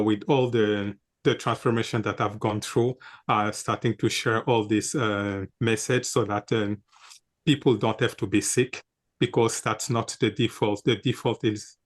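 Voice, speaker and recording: A man being interviewed as a wellness coach, answering earnestly in measured phrases broken up by many hesitations. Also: fairly low pitch. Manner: earnest, frequent "uh" fillers, measured.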